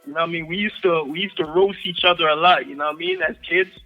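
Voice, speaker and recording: A young man with a Jamaican accent, a guest artist chatting casually in an interview, relaxed, and often saying "you know what I mean". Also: fairly high pitch, quick pace, phone-quality sound, faint music behind.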